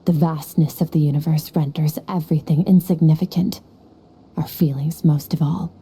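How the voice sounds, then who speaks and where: An actress voicing a cold, detached adult swordswoman who speaks in a flat, unemotional manner. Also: deep voice, moderate pace, husky, heavily acted. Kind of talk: video game cutscene dialogue. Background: faint music.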